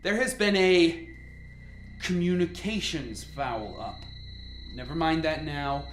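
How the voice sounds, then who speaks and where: In a roomy radio-play recording, a woman plays a female team leader with a lowish, measured voice. She is authoritative and short on patience, giving orders to her scientists. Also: slight background noise. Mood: angry, exasperated. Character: commanding, exasperated woman leading a scientific team. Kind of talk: radio drama acting. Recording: roomy echo, faint music bed.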